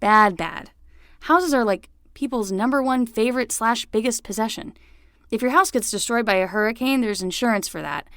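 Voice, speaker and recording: A woman playing a young, excitable summer nanny with a casual, rambling way of talking in a fiction podcast. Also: a little high, normal speed, smooth, no room sound, clean studio sound. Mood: skeptical, teasing. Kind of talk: scripted fiction podcast acting.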